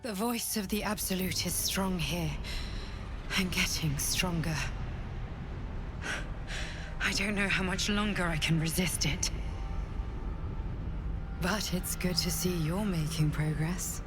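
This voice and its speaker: A woman playing a weary, solemn, mysterious guardian in a video game, speaking in a measured, grave and breathy way. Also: fairly low pitch, over music. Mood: strained, reassuring. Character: weary, solemn mysterious female guardian resisting dark telepathic power. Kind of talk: dramatic video game dialogue.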